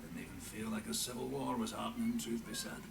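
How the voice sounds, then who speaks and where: Actor as gravelly, folksy fantasy comrade. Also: male voice, mid-range voice, steady tone, brisk, echoey room, far from the mic, noisy background, close to an everyday voice. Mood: teasing. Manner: folksy, bantering. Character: gruff, teasing, loyal male comrade. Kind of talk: fantasy video game dialogue.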